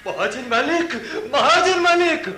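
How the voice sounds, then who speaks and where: Eager servant calling out in radio drama; extremely high pitch, stretched words, very noisy scene. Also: male voice, expressive, a little slow. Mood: excited, urgent. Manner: breathlessly exclamatory, calling out and repeating words. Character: excited male servant calling out to his master. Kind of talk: theatrical Hindi radio drama acting.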